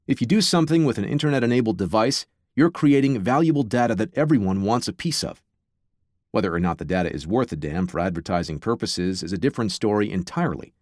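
A man's voice: on the low side, no echo, studio quality. A man presenting a podcast with scripted narration, wry and blunt in manner.

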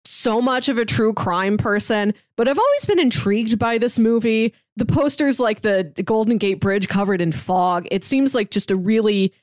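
A woman's voice: fairly high pitch, lively intonation, normal speed, few pauses, a little mellow, no room sound. A woman co-hosting a podcast in casual chat, talking in a chatty way with hesitant asides. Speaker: podcast co-host. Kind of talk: casual podcast chat, pitching films and reading synopses.

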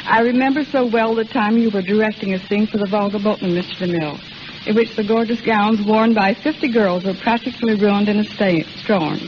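A woman's voice: mid-range voice, a little fast, muffled recording, noisy background. A woman giving a polished, rehearsed testimonial as a studio wardrobe mistress on 1930s radio, on an old recording.

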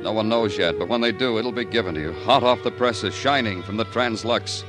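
Guy acting a bitter old-time radio detective, lowish voice, sardonic poetic monologue, music behind, vintage recording. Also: fairly quick, natural voice. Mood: brooding, sardonic. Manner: sardonic, poetic second-person delivery. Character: brooding, bitter city detective narrator. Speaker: detective narrator in a radio drama.

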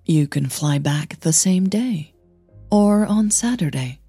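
Woman doing a casual daring late-night visitor lady, audio drama, slow n mellow. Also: on the low side, lively intonation, slightly rough, no echo, soft background music, full character voice. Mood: casual, coaxing. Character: casual, daring woman coaxing her friend.

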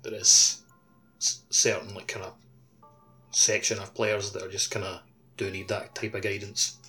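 A man's voice: fairly low pitch, level tone, moderate pace, pushed and bright. A man co-hosting a gaming podcast, talking casually in an informal, hedging way. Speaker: podcast co-host. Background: background music.

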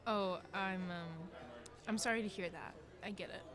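A woman's voice: medium pitch, moderate pace, a crowd in the background, lightly acted. Woman playing a sweet, hopeful teenage girl. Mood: tentative, hopeful. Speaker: voice actor. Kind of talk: fiction podcast dialogue.

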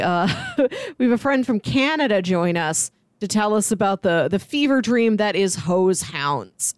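Female podcast co-host, medium pitch, relaxed conversational delivery, recorded dry. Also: no room sound. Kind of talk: casual podcast chat.

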